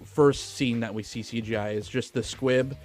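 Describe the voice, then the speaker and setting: A man with a mid-pitched voice co-hosts a casual film podcast. He is enthusiastic and chatty, swearing freely as he talks. Faint music runs underneath. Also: steady tone, moderate pace, a little mellow. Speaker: podcast co-host.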